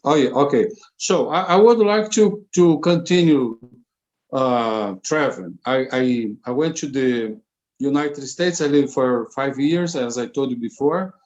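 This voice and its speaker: A man with a Brazilian Portuguese accent speaking English as a second language, halting and repeating words with many fillers in a casual interview. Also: medium pitch, darkish tone, lo-fi sound. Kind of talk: casual conversation.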